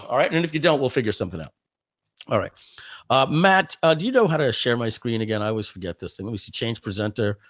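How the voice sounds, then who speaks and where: A man hosts a live webinar in a casual, chatty way. His mid-pitched voice is a little hoarse, and it comes through close and dry with a slightly muffled sound. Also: sing-song, quick pace, a bit bright, dry recording. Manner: chatty, informal, addressing the audience directly. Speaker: company founder hosting a webinar.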